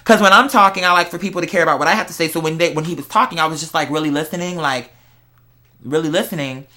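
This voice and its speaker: A vlog host chatting casually and candidly to viewers, telling stories in an animated, slangy way. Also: mid-range voice, fast talker. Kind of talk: casual confessional chat to viewers.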